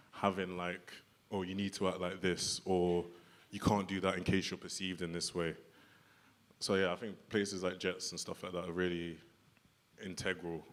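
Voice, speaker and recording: A young man with a very low, deep voice talks on a panel at a live event. He speaks earnestly and casually, leaning on small fillers as he thinks aloud. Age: young adult. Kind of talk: reflective panel talk. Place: event hall with audience.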